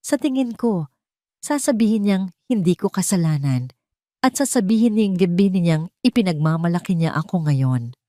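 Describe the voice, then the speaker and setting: A calm, resolute heiress in an audio drama, acted by a woman narrator. She speaks softly and earnestly in a lowish female voice, recorded close and dry. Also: moderate pace, dry recording, close to an everyday voice. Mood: soft, reflective. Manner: soft-spoken, earnest.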